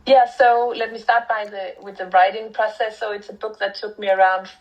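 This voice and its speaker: A woman's voice: medium pitch, fairly even intonation, normal speed, highs cut off. Reflective Danish-accented woman author. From Denmark. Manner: reflective, with frequent fillers and restarts. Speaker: author and anthropologist. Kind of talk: thoughtful interview answers.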